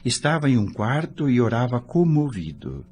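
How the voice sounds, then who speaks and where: A man's voice: mid-range voice, sing-song, moderate pace, noisy background, natural voice. A man playing a curious, awed spirit newcomer who narrates his story in an audio novel. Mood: awed, moved. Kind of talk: dramatized scripted narration.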